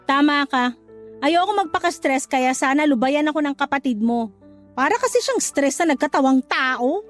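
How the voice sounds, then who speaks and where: A woman's voice: sits high, expressive, normal speed, soft background music. An actress playing a feisty, exasperated young single mother in a radio drama, sassy and outspoken. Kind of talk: Tagalog radio drama acting.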